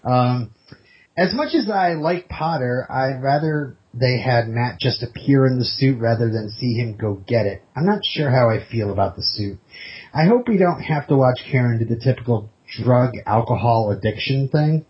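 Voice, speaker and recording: Husky male podcast host, jokey reading. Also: medium pitch, expressive, moderate pace. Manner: jokey, laughs while reading. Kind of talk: casual chat, reading listener email aloud. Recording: muffled lo-fi podcast audio.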